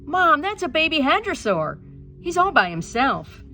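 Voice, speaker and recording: A woman voicing an excited, pleading young boy in a read-aloud storybook. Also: sits high, unhurried, faint music behind, voice pushed up, exaggerated voice. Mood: eager pleading. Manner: eager, exclamatory.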